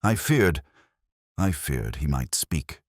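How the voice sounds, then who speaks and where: Man voicing a deep-voiced, guilt-ridden old magistrate. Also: sing-song, slow pace, slightly rough, dry recording, studio quality, exaggerated voice. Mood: guilty confession. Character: guilt-ridden elderly English magistrate, weary and confessional.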